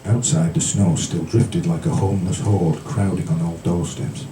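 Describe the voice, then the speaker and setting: Male poet for live café reading: dark, mellow tone, literary and measured, heard from across an echoey room. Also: deep voice, steady tone. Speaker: featured poet. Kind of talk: live poetry reading with brief casual asides. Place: small indoor café poetry event. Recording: roomy, distant, noisy live recording.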